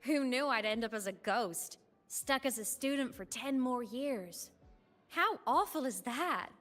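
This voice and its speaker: High-voiced, breezy student ghost girl. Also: animated, unhurried, a bit bright. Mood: breezy, rueful. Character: cheerful, wistful young female student ghost. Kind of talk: video game voice acting. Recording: long reverb under game music.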